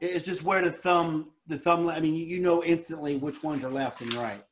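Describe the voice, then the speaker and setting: A man's voice: a little high, fairly even intonation, normal speed, slight background noise. A man in his late thirties in a casual group chat, chatty and pointing things out as he talks. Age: 30s. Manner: chatty, shows things while talking.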